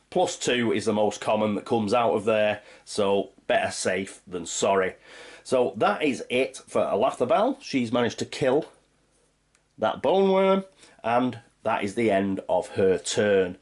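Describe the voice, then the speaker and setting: Bunged-up man narrating a board game. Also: fairly low pitch. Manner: chatty, explains rules step by step. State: congested from a cold. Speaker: board game channel host. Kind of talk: playthrough commentary of a board game.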